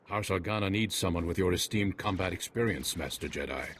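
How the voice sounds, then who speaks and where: An actor playing an earnest noble army general in a video game, speaking in a formal, measured way. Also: male voice, low voice, a bit bright. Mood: earnest, grateful. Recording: faint battle noise, gunfire behind.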